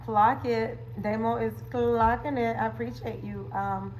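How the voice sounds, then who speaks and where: Female livestream host, higher voice, slow easygoing pace, playful slangy chat, slight background noise. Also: steady tone, darkish tone, rough recording. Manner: playful, chatty, with slang catchphrases and frequent laughter. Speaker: livestream host and wellness coach. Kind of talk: casual livestream chat, answering viewers.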